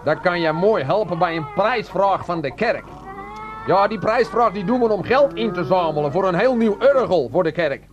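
An actor playing a folksy, suspicious farmer in a radio play, grumbling and quaintly formal. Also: male voice, high-pitched, animated, normal speed, highs cut off. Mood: grumbling, sly. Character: folksy, suspicious Dutch farmer, stubborn and wary of city folk.

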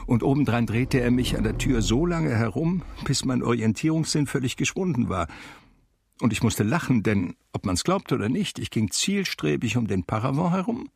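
Reflective blind gentleman, gravelly low-key voice. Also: animated, no echo. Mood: wry, reminiscing. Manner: measured, articulate, reflective, gently wry. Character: blind man from a cultured New York family, reminiscing wryly. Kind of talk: German radio drama first-person narration.

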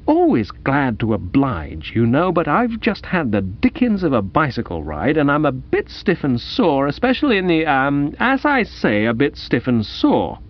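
Man acting a bumbling young gentleman, very lively high pitch. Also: normal speed, hardly any pauses, lo-fi sound. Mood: flustered. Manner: bluff, eager, bumbling. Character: amiable, dim, flustered upper-class young gentleman. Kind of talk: dramatised comic audiobook reading.

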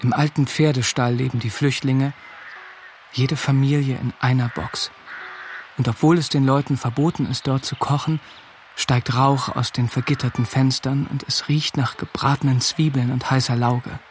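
An actor narrating a radio drama as an observant, tender seventeen-year-old farmhand. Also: male voice, mid-range voice, fairly even intonation, normal speed, a little hiss or hum, natural voice. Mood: quiet, observant.

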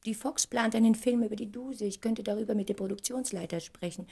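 A woman's voice: fairly high pitch, steady tone, a little fast, dark tone. A woman acting an effusive, ambitious stage actress who is dreamily in love, in a theatrical German radio drama style. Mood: bright planning. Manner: theatrical, dreamy, swinging from breathy murmurs to exclamations.

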